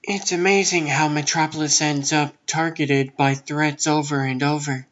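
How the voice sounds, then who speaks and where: A man's voice: a little high, steady tone, normal speed, a bit bright, dull audio, natural voice. A man voicing a guarded but friendly woman reporter in a comic book dub.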